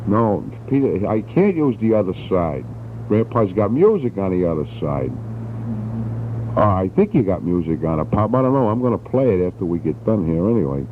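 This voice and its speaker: A man's voice: low-pitched, very animated, moderate pace. A thin, narrowband old home tape carries a middle-aged man. He talks in a folksy, rambling way, as if chatting to family. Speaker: father recording a taped letter. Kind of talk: casual spoken letter to family. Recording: muffled, noisy 1960s home cassette tape.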